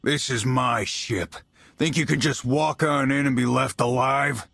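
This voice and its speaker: An actor playing a blustering, belligerent male pirate boss in a video game, rough-spoken and threatening. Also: medium pitch, fairly even intonation, unhurried, a bit bright, a little hiss or hum. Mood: menacing.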